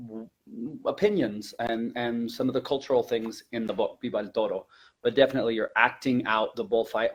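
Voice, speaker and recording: Man explaining informally, medium-pitched voice. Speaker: language teacher presenting a webinar. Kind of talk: informal teaching presentation.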